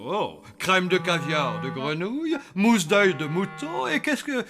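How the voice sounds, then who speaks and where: A high, animated male cartoon voice of a zombie father. He is fussy and theatrical, drawing out his words over loud music. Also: a little slow, heavily acted. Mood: savouring, curious. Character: expressive, fussy zombie father, a hotel owner.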